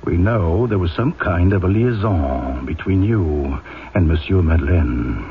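An actor playing a cold, stern, scheming police inspector in an old-time radio drama. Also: male voice, low voice, lively intonation, a little slow. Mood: stern, insinuating. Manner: clipped, sardonic, domineering. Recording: dull, noisy old radio recording.

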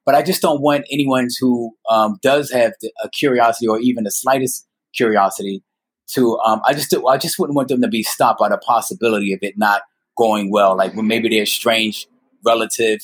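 A man in his fifties telling personal stories as a podcast guest, speaking earnestly and haltingly, repeating words for emphasis. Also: medium pitch, steady tone, husky, poor audio. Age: 50s. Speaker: guest storyteller. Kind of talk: personal storytelling in a conversational interview.

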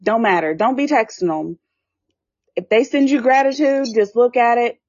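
A woman's voice: on the high side, normal speed, a little mellow, no echo. A woman in her twenties co-hosting a casual podcast, speaking bluntly and swearing freely. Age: 20s. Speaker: podcast co-host. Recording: dull lo-fi sound.

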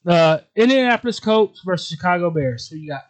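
Higher-voiced American man trading football banter. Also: lively intonation, brisk. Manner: informal, teasing, slangy. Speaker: sports podcast co-host.

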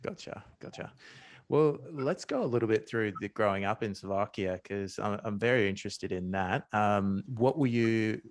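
A man with an Australian accent hosting a podcast interview, asking questions in a relaxed, friendly way. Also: lowish voice, moderate pace, no echo, clean studio sound. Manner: relaxed and friendly, with short affirmations. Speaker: podcast host.

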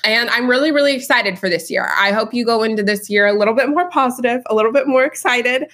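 A woman's voice: a little high, fast, fairly bright tone. A woman hosting a podcast, upbeat and bubbly, peppering her talk with "like". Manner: bubbly, upbeat, says "like" a lot. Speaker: podcast host. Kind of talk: casual podcast chat.

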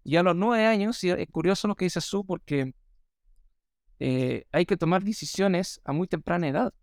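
A man born in Australia whose first language is English, hosting a podcast and telling stories in a lively way with many fillers. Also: high-pitched, normal speed, no echo, studio quality. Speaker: podcast host. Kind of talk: casual chat.

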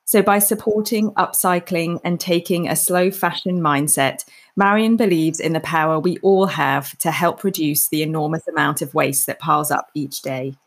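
A woman's voice: sits low, normal speed, slightly rough. A woman hosting an interview show, speaking in prepared introductions and questions to her guest. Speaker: podcast host.

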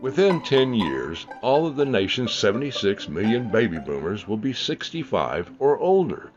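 A man's voice: on the low side, very animated, moderate pace. A man hosting a podcast who reads out figures and then jokes loosely. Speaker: podcast host. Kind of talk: podcast narration. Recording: dull sound with faint music behind.

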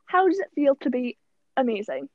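A woman's voice: high-pitched, lively intonation, vocal fry, highs cut off, a little hiss or hum. A young woman hosting a podcast, chatting casually and laughing easily with her guest. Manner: friendly, quick to laugh. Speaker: podcast host. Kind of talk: casual chat.

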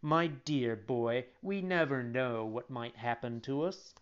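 Kindly old storybook father acted by a reader: unhurried, clear-toned, gently moralizing, mild. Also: mid-range voice, highs cut off, lightly acted. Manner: slow, mild, fatherly admonishing.

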